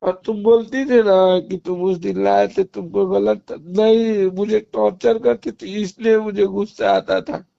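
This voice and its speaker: A man's voice: high-pitched, lively intonation, a little slow, vocal fry, few pauses, warm tone, no room sound. A man with a Bhojpuri accent in an emotional private argument, pleading and agitated, repeating himself, on a recorded phone call. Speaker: caller in a personal phone argument.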